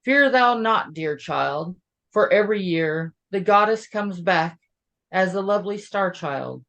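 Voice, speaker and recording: A woman voicing a gentle, reassuring witch of the solstice in a storybook poem. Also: mid-range voice, lively intonation, unhurried.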